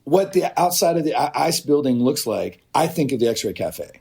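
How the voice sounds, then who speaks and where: Rambling fifties man, dark mellow voice. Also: medium pitch, moderate pace. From Oregon, USA. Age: 50s. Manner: rambling, animated storytelling with restarts. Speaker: podcast guest. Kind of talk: casual chat.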